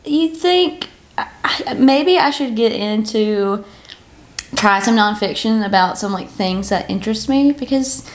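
Bubbly young woman, slightly high voice. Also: expressive, measured pace. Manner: bubbly, exclamatory, peppers talk with "like". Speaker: podcast co-host. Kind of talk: casual chat. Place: living room sofa at home.

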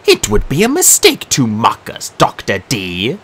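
A man voicing a trio of cold, ancient Valkyrie warrior women in an audiobook, slow and threatening. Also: mid-range voice, huge pitch swings, a bit bright, husky, heavily acted. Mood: menacing. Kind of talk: audiobook character voice.

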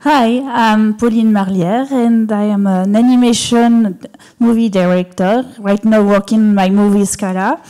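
A woman's voice: medium pitch, steady tone, mellow. A woman on a live panel, speaking hesitantly with restarts and fillers. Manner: hesitant, halting, with restarts and laughs. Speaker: panelist, animation director and game artist. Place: small venue stage before an audience.

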